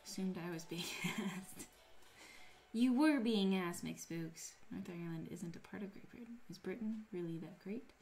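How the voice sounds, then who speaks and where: A woman's voice: lowish voice, normal speed, darkish tone, faint music behind. A woman casually chatting with her live-stream audience while crafting, playful and teasing as she reads chat. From United States.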